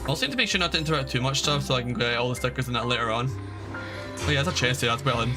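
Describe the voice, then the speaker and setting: A man's voice: mid-range voice, racing, over music. A man giving casual, opinionated live-stream commentary on a game, explaining things to his viewers as he plays.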